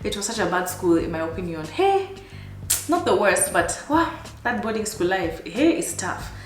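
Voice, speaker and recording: A woman in her thirties with a Kenyan accent, chatting casually to her viewers in a life-update vlog, animated and laughing often. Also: mid-range voice, moderate pace, roomy sound, faint music behind. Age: 30s. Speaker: vlogger.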